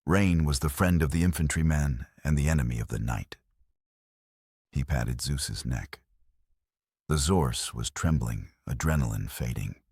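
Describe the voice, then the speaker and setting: Male storyteller, extremely low pitch, husky, dry close sound, solemn, measured, dramatic narration. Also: a little mellow, dry recording. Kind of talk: dramatic scripted audiobook narration.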